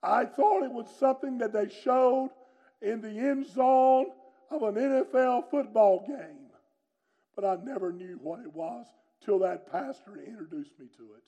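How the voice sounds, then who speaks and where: A middle-aged preacher with a booming, forceful way of talking. His voice sits high for a man but has a mellow, warm tone. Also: a little slow, lo-fi sound. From North Carolina, USA. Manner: booming, loud and strong delivery. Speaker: pastor. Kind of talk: sermon with personal testimony.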